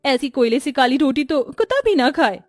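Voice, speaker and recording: A woman's voice: high-pitched, expressive, normal speed, no room sound. A woman voicing a bossy, taunting young sister-in-law in a cartoon story, with a mocking, commanding way of talking.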